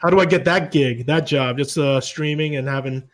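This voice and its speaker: A man in a casual chat keeps asking curious, joking questions. His voice sits a little high for a man. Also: moderate pace.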